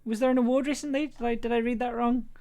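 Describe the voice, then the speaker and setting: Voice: medium pitch, fairly even intonation, fast talker, creaky, warm tone. An enthusiastic audio producer chatting casually and effusively on a livestream while editing. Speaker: audio drama producer and streamer.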